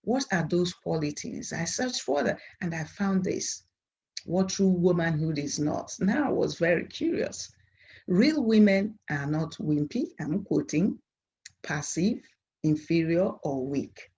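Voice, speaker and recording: A middle-aged woman with a Nigerian accent and Igbo as her mother tongue reads a formal academic presentation in a measured, earnest way. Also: lowish voice, animated, dark tone, slightly rough, highs cut off. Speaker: university professor presenting at a conference. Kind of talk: formal scripted academic lecture.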